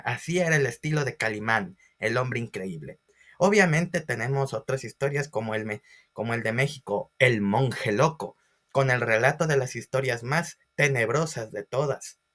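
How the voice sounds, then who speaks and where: A man's voice: mid-range voice, a bit bright. A man giving a history lecture as a storyteller, with theatrical emphasis and questions to his listeners. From Ecuador. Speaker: history teacher.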